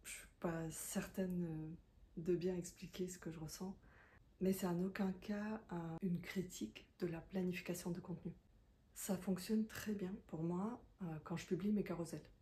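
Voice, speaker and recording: Casting note: low-ish, warm woman, non-native speaker, reflective and hesitant. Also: fairly even intonation, normal speed, slight background noise. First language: not French. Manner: hesitant, many fillers and restarts; thoughtful and confiding. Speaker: content creator, self-employed. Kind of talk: reflective talking-head monologue.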